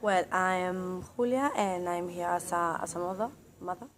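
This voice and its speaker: A woman's voice: fairly low pitch, moderate pace, a little hiss or hum. A woman in her thirties in a classroom role-play, speaking hesitantly with many fillers and restarts. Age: 30s.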